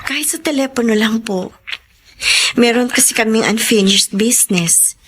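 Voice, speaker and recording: A woman's voice: on the high side, moderate pace, breathy edge. A woman with a Filipino accent playing a polite, humble young mother in a radio drama. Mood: grateful, humble. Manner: polite, deferential. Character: humble, grateful, apologetic young single mother. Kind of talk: Tagalog radio drama acting.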